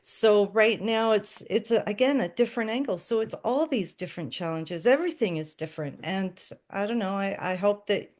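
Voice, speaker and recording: A woman's voice: medium pitch, moderate pace, hardly any pauses, a little mellow. A woman from Canada, a singer-songwriter guest chatting casually in an interview, self-deprecating and laughing often.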